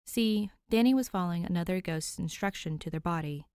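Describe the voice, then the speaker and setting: A woman's voice: lowish voice, fairly quick, darkish tone, clear, resonant tone, no room sound. A woman reading a scripted story aloud as an audiobook narrator. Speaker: podfic narrator.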